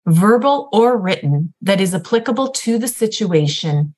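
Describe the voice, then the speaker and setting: A woman's voice: medium pitch, expressive, normal speed, darkish tone. A woman who is a nurse practitioner and healthcare ethicist, explaining things as a guest in a chatty way, with frequent fillers and restarts. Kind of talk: explanatory conversational guest talk.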